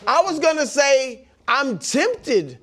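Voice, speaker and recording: Very high, lively male sports panelist. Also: slow. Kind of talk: lively studio banter.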